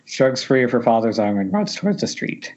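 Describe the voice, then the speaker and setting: A man's voice: mid-range voice, sing-song, a little fast, darkish tone. Man reading stage directions, muffled call. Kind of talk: reading script narration aloud with casual asides. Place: remote group voice call. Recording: muffled internet call audio.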